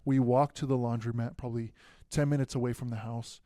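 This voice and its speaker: A young man telling stories in a relaxed podcast chat, with many fillers as a guest. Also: mid-range voice, a little fast, no room sound. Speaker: guest, future pastor. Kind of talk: casual podcast conversation.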